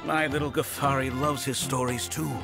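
A man's voice: medium pitch, little pitch movement, moderate pace, vocal fry, lightly acted. A man voicing an earnest middle-aged craftsman in a game, explaining things plainly. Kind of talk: game cutscene dialogue. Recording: loud game soundtrack music behind.

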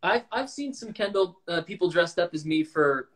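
A young man chatting in a relaxed, easygoing way on a livestream video call, speaking into a phone held at arm's length. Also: sits high, normal speed. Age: young adult. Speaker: livestream co-host. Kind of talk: casual chat on a video call. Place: room with shelves indoors.

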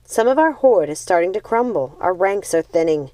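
A woman reads as a young witch sister from a storybook. She is coolly resolute and pragmatic, reassuring in a measured way. The sound is dry and close. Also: on the low side, expressive, a little mellow, dry recording, natural voice. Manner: measured, reassuring, matter-of-fact.

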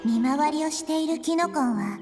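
A woman playing a calm, curious little girl deity in a Japanese game, composed and gentle in manner. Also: sits high, moderate pace. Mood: cautious. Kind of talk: Japanese anime game dialogue. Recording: faint game music behind.

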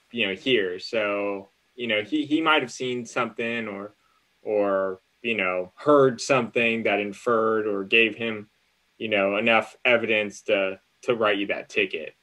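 American man in his thirties, lawyer on a livestream call-in, fairly low pitch, halting and conversational. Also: a bit bright. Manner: halting, hedging, thinking aloud. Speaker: lawyer answering call-in questions. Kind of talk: casual legal advice chat on a livestream. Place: indoors in front of a green screen, on a video call.

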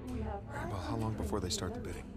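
A man's voice: fairly low pitch, wildly swooping pitch, quick pace. A man playing a wry, easygoing treasure-hunting adventurer in a video game, speaking casually with an American accent. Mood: eager, scheming. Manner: casual, wry, hesitant when improvising. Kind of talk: naturalistic video game acting. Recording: background music under dialogue.

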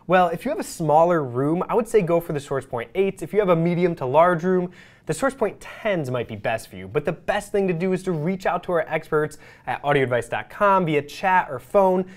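A man's voice: on the high side, expressive, fairly quick. A man in his thirties presenting a scripted product review to camera from a studio, polished and upbeat. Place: studio with speakers behind.

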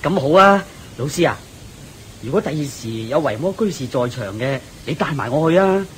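An actor with a Hong Kong Cantonese accent playing an eager, curious, easily awed young Buddhist disciple, deferential and full of exclamations in a radio drama. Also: male voice, a little high, lively intonation, normal speed, slight background noise, lightly acted, low-quality recording. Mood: impressed, eager.

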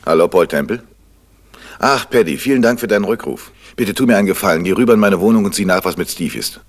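An actor playing a determined, commanding detective in a vintage radio crime drama. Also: male voice, deep voice, quick pace. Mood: concerned. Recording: noisy vintage radio recording.

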